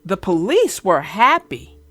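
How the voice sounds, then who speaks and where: A woman children's book narrator speaking expressively and deliberately, as if to young children. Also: mid-range voice, sing-song, fairly bright tone, no room sound, soft background music. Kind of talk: storybook read-aloud and casual chat.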